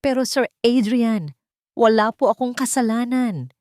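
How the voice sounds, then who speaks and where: A woman's voice: fairly high pitch, unhurried, a little mellow, no echo, studio quality, pitched above the performer's own voice, close to an everyday voice. A woman voicing a meek, humble young housemaid in a story, deferential, pleading and heartbroken.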